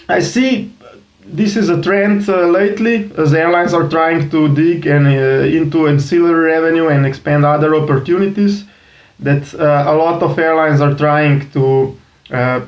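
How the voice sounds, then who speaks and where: A man hosting an interview, asking long, halting questions full of "uh" fillers. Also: fairly high pitch, echoey room. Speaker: interview host.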